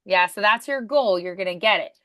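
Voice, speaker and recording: A woman's voice: mid-range voice, fairly quick, sharp tone, no room sound, clean studio sound. A woman working as a voice acting coach, encouraging and affirming as she talks a student through questions one-on-one.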